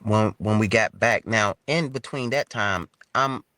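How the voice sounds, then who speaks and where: Deep-voiced American man in his fifties. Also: measured pace, sharp tone, dry recording, clean studio sound. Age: 50s. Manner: informal, colloquial phrasing. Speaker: interview guest. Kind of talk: casual conversational storytelling.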